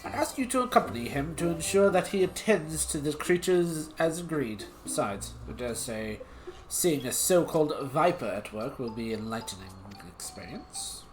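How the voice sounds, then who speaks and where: Prim guild artisan, read by a streamer, formal, condescending, lively pitch, faint background music. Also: moderate pace, lightly acted, rough recording. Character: prim, haughty guild weaver. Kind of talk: reading game dialogue aloud on stream.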